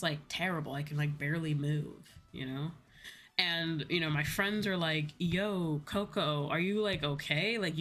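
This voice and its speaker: Bright-voiced American woman chatting on stream. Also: low voice. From United States. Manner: animated storytelling, acting out reported dialogue. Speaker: VTuber livestreamer. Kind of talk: casual rambling livestream chat. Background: background music.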